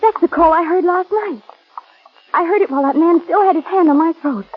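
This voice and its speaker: A woman playing an expressive, chatty society companion in a 1930s radio drama. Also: very high voice, fairly quick. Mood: anxious. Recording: muffled, noisy 1930s radio recording.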